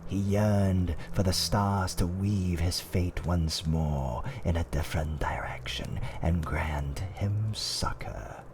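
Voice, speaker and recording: A man playing a fantasy tavern owner, telling dark fireside tales in a hushed, dramatic roleplay ASMR style that often drops toward a whisper. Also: low voice, fairly even intonation, slow pace, few pauses, noisy background. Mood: ominous, hushed. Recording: faint background ambience.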